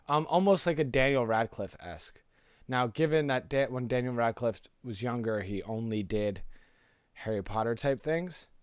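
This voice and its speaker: A man's voice: mid-range voice, normal speed, clear, resonant tone, dry recording. Casual man chatting, close dry sound. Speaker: podcast co-host. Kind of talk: casual pop culture podcast chat.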